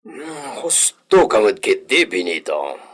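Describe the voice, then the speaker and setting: A man playing a grave, brooding landowner in a radio drama, speaking in a slow, hushed, deliberate way. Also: fairly low pitch, big pitch swings, breathy edge, full character voice. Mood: grave, secretive. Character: grave, brooding hacienda lord, a don.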